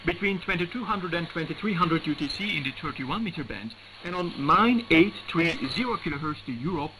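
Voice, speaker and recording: A male radio announcer with a slightly high-pitched voice reads a scripted station announcement. The sound is a shortwave broadcast with clear noise and static around the voice. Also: lively intonation, a little fast. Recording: noisy shortwave radio reception.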